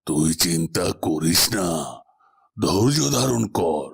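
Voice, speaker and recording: A man's voice: deep voice, expressive, slow pace, creaky, a little mellow, slightly rough, heavily acted. A man voicing a grave, authoritative tantric holy man in a cartoon, protective toward those who come to him. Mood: grave, reassuring. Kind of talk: Bengali cartoon voice acting. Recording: music behind the voice. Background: background music.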